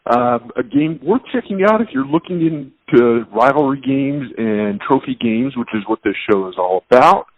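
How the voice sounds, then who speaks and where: A man's voice: medium pitch, lively intonation, normal speed, hardly any pauses, phone-quality sound. A man hosting a sports show, interviewing a guest in a casual, conversational style.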